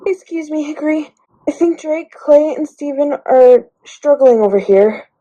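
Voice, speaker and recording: A woman's voice: high voice, lively intonation, measured pace, mellow, dull audio. A woman playing a polite, concerned female companion in an amateur radio drama. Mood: polite, coaxing.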